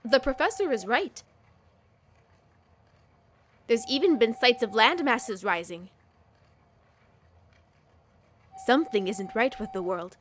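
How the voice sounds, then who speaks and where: Practical, guarded flyer for a game fan dub, played by a woman: measured pace, expressive lines. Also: a little high. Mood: worried. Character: cautious, reluctant professor's colleague, practical flyer. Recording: music under the voice.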